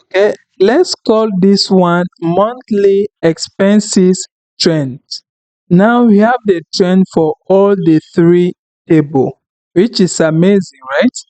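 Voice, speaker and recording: A man whose first language is French, talking through a screen-recorded software tutorial in an unhurried, apologetic way, thinking aloud with fillers. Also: high-pitched, no room sound, rough recording. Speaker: tutorial instructor.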